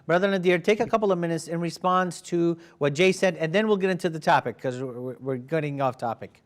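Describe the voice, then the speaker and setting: A man's voice: on the high side, brisk. A man whose first language is Arabic, firmly moderating and then arguing in a debate. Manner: firm, steering the talk.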